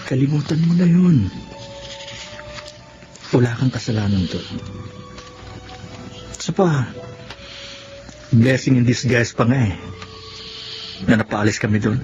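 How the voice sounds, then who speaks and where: A man's voice: mid-range voice, measured pace, long pauses, music in the background, lightly acted. A man playing a caring, playful and loyal best friend in a radio drama, reassuring and teasing. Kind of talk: Tagalog radio drama acting. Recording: dull lo-fi audio, clear background noise.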